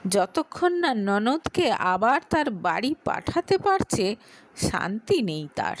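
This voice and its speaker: Expressive, high-voiced woman storyteller. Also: takes it slowly, no room sound. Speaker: audio story narrator. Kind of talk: dramatic scripted story narration.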